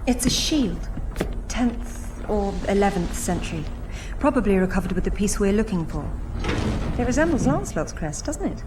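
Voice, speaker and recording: A woman's voice: medium pitch, very animated, normal speed, noisy background, lightly acted. A woman with a British accent voicing a confident adventurer heroine in a video game, poised and dryly witty. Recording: game audio over loud music.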